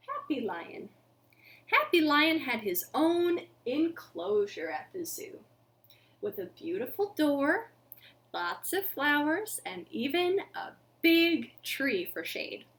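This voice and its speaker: A young woman hosting a children's storytime, speaking to little ones in an animated, deliberate storytelling manner. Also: sits high. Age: young adult. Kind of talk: children's storytelling and action songs. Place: living room at home.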